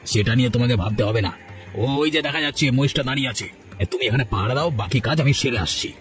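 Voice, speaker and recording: Man acting a gossipy cartoon villager plotting mischief, talks quick, medium pitch, faint music. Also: natural voice. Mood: impatient, plotting.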